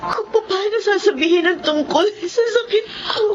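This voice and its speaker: A woman's voice: extremely high pitch, moderate pace. An actress playing a distraught, selfless young working woman and eldest sister in a Filipino melodrama, pleading and emotional. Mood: tearful. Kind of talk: Filipino radio-style melodrama acting. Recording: muffled lo-fi audio, loud music underneath.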